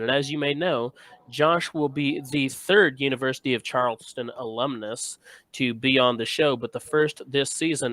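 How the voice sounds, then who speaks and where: A man's voice: mid-range voice, a bit bright, dry recording. A man hosting a casual sports interview, speaking in an informal, encouraging way. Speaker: host.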